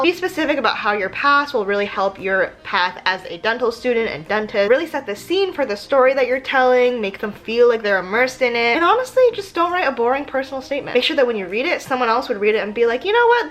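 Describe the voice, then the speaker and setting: Female advice vlogger, twenties: higher-pitched, swooping intonation, slangy, self-mocking delivery. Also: fairly bright tone. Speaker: vlog host giving application advice. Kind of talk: casual advice vlog with read-aloud passages.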